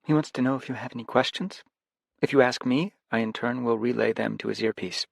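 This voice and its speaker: A man's voice: mid-range voice, brisk, vocal fry, no room sound, voice pushed up. A man voicing a businesslike young woman at a workstation.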